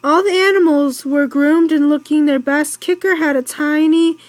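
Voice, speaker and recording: High-voiced young woman reading haltingly. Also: steady tone, darkish tone, no echo. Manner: halting, stumbles and repeats words. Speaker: amateur storybook reader. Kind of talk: read-aloud of a children's storybook.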